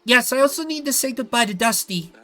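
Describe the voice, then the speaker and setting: A man's voice: very high voice, animated, a little fast, pushed and bright, pitched above the performer's own voice, exaggerated voice. A man voicing a polite, eager little princess in a video game, with a formal, childlike earnestness. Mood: earnest. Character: polite, eager little princess who loves her pets.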